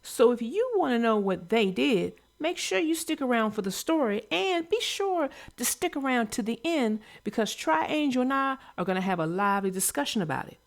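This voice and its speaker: A woman's voice: fairly high pitch, sing-song, normal speed, creaky, few pauses, dry recording. A woman narrating a children's read-aloud story, addressing young listeners directly as host.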